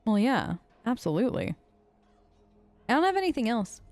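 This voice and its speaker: A woman's voice: a little high, sing-song, moderate pace, a little mellow. A woman role-playing in a video game let's play, casual and wry with a hesitant, improvised delivery.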